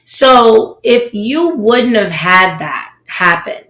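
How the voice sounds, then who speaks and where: A woman hosting an interview, asking measured questions in an echoey room. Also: on the high side, expressive, a bit bright. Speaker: interview host.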